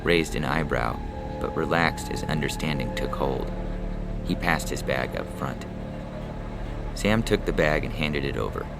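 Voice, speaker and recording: A man narrates scripted fiction as a story reader. His voice is extremely deep with heavy gravelly fry, and a music bed runs clearly behind it. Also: expressive, a little slow.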